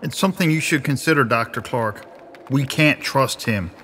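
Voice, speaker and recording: A man's voice: mid-range voice, normal speed, fairly bright tone, a little hiss or hum, natural voice. A man playing a grave, distrustful, unforgiving man with a stern manner in an audio drama. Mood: grim, distrustful.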